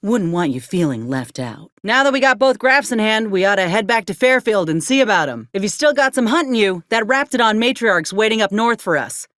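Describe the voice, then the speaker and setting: A woman's voice: mid-range voice, animated, a little fast, few pauses, fairly bright tone, dry recording, studio quality, lightly acted. A woman voicing a plainspoken, wry frontier companion in a video game, with a folksy Southern American drawl. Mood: matter-of-fact.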